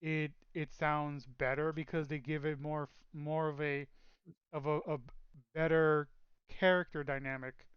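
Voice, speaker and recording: A man on the higher side of the male range rambles through a casual chat, leaning on "like" and restarting sentences. His voice comes through smooth and clear, with a dry, slightly muffled mic sound and no room behind it. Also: flat delivery, unhurried, no echo. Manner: rambling, many fillers and restarts. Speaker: podcast co-host.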